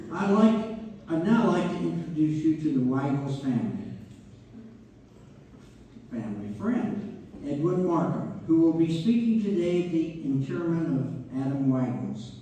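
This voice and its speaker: A man's voice: on the high side, dark tone. A man playing a genial narrator who guides an audience through a family history in scripted stage narration. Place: community theater hall. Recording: very echoey, distant mic, faint noise.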